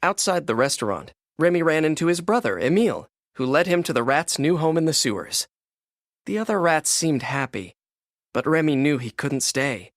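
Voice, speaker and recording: Male narrator for kids' read-along book, animated delivery with wide pitch movement, dry recording. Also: normal speed, no echo. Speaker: storybook narrator.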